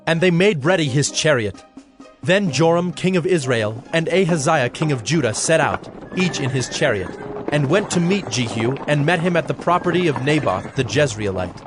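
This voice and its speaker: Male scripture narrator over soft music. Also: fairly high pitch, lively intonation, moderate pace.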